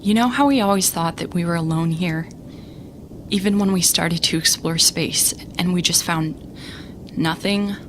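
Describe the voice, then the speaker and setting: A woman's voice: on the low side, measured pace, slight background noise, close to an everyday voice. A woman playing an exasperated young space captain who narrates her story in a sarcastic, conversational way for an audio drama. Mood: weary, earnest. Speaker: narrator and lead character.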